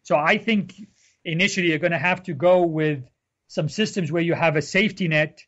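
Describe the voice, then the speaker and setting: A middle-aged man originally from Egypt talks through his research as an interview guest. He is measured and explanatory, with frequent ums. His voice sits a little high and comes through muffled, lo-fi call audio. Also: a bit bright. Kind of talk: interview answers.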